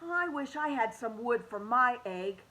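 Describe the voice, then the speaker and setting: A woman voicing an eager little boy rabbit in a storybook, speaking brightly and earnestly. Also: on the high side, lively intonation, measured pace. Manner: bright, earnest and wide-eyed.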